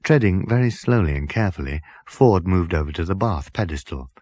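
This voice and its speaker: A man's voice: low voice, animated, fairly quick, darkish tone, dry recording. A man narrating comic fiction as an audiobook reader, working from a script.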